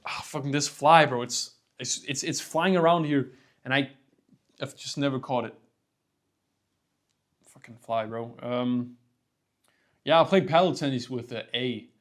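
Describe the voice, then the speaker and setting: A man's voice: medium pitch, a little slow, pauses often. A young man from Denmark with a Danish accent, talking casually to camera in a rambling vlog, full of "like" and "bro" and swearing. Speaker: vlogger.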